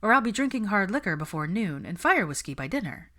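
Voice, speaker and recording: Audiobook role: exasperated young witch bride, read by a woman, emphatic venting, very animated pitch. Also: fast talker. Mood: weary. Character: stressed, exasperated young witch planning her wedding.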